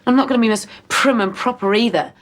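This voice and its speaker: A woman's voice: on the high side, fast talker, slight background noise, natural voice. An actress playing a headstrong young woman from the New countryside, in a soap opera. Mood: defiant. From rural New Zealand. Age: young adult. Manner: defiant, defensive. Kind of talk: 1990s TV soap acting.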